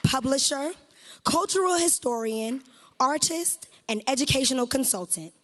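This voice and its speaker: A woman's voice: sits high, expressive, moderate pace, fairly bright tone. A woman announcing and introducing a guest reader in a chanting, ceremonial introduction style.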